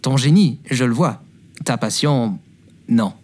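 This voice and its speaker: A man's voice: mid-range voice, expressive, takes it slowly, a little mellow. An actor playing a clumsy, good-hearted young man in a French audio drama, hesitant and boyish in his manner. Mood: gentle, candid. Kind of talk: French audio fiction acting with narration. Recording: music behind the voice. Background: music.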